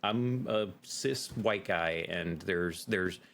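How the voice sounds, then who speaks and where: Male podcast guest, very low register, reflective and halting, with some faint background noise. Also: gravelly, fairly bright tone. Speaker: podcast guest, actual-play game master. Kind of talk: casual interview conversation.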